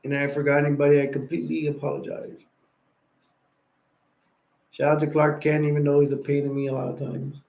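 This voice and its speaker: A tired, sleepy man hosting a casual late-night live stream, rambling and giving viewers shout-outs. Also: fairly high pitch, completely flat delivery, brisk, gravelly, long pauses. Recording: echoey room.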